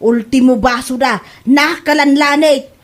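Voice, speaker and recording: Woman acting a high-voiced, nagging Ilocano mother-in-law. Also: fairly even intonation, measured pace. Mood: disgusted, complaining. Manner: nagging, blunt, complaining. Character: blunt, scolding mother-in-law, fed up and fussy. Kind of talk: Ilocano comedy audio drama acting.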